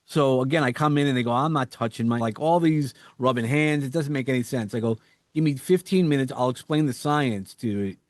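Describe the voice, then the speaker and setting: Man, New York accent, podcast guest, energetic, stammering, acts out quotes, dry sound. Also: mid-range voice, fairly quick, no echo. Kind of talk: casual interview chat.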